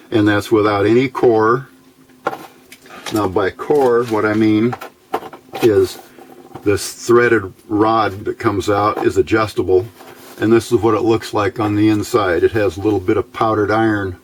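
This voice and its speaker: A man with a fairly low voice gives a casual, hands-on electronics tutorial. He speaks very slowly, pausing and thinking aloud as he explains each part. A faint background noise sits under the voice. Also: steady tone. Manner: halting, unhurried, thinking aloud. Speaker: electronics hobbyist video host.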